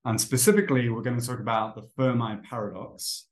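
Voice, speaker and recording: A man in his thirties hosting a casual interview podcast for English learners, friendly and full of fillers, slowing down to explain words. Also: mid-range voice, fairly even intonation. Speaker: podcast host and English teacher.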